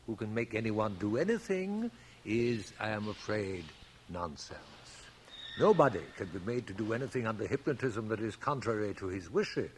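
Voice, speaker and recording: An actor playing a suave, self-assured stage magician in a radio drama, speaking formally and precisely with measured theatrical poise. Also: male voice, lowish voice, lively intonation, lightly acted. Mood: lofty, firm. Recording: 1980 radio drama, hissy cassette transfer.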